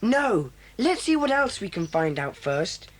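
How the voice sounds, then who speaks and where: A woman voicing a decisive boy who leads a children's club in an audio drama, briskly taking charge. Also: mid-range voice, sing-song.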